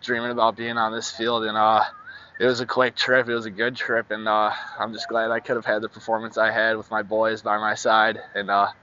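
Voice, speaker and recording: A young man, a high school lacrosse player, giving an easygoing, grateful post-game interview outdoors on a field at night, holding a handheld mic. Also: monotone, fairly quick, pushed and bright, highs cut off, slight background noise. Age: young adult. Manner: easygoing, grateful, laughs often.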